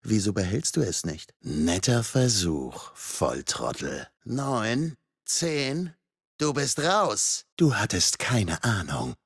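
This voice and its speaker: An actor playing a mocking, menacing masked killer in a video game, with a raspy voice and sardonic, foul-mouthed taunts. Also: male voice, fairly low pitch, big pitch swings, takes it slowly, dry recording, clean studio sound, exaggerated voice. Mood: taunting, sardonic. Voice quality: raspy, husky.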